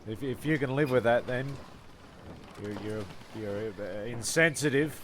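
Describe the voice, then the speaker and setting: A man narrating an informal improvised comedy as its host, halting and repeating words as he goes. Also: mid-range voice, slight background noise.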